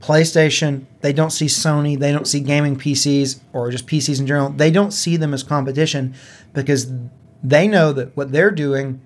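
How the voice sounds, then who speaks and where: A man's voice: fairly high pitch, steady tone, moderate pace. A man co-hosting a gaming podcast in casual, conversational style. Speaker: podcast co-host.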